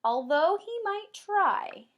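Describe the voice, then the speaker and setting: A woman kindergarten teacher doing an expressive read-aloud for young children, with drawn-out emphasis and simple explanations. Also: very high-pitched, a little hiss or hum. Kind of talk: animated children's read-aloud with comprehension questions.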